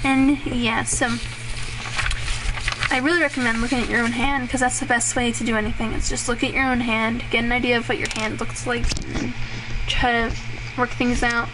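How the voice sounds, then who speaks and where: A woman's voice: on the high side, level tone, moderate pace. Rambling young woman with a noisy mic. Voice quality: voice turns raspy at times. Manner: rambling and self-deprecating, with frequent fillers and laughs. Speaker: drawing tutorial host. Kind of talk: casual drawing tutorial. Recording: clearly noisy home recording.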